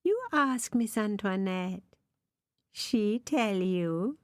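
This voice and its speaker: A woman's voice: medium pitch, animated, drawn-out words, no echo, studio quality, pitched above the performer's own voice. A woman voicing a sly, gossiping servant woman, talking in a knowing way.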